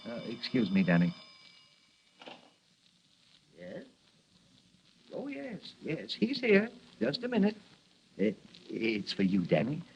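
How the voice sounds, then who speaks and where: An actor playing a kindly, loyal doctor and confidant, gentle and attentive, in old radio drama style. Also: male voice, medium pitch, wildly swooping pitch, slow, long pauses, husky, heavily acted. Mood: attentive, helpful. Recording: dull old radio recording.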